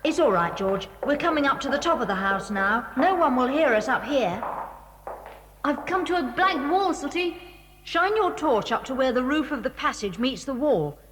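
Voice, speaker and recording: A woman's voice: high voice, animated, normal speed, fairly bright tone, slight background noise. A woman playing a clever, secretive British schoolboy in a children's audio drama, eager and well-spoken as he explains things. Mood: brisk, excited. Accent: British English. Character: clever, secretive schoolboy showing friends his house.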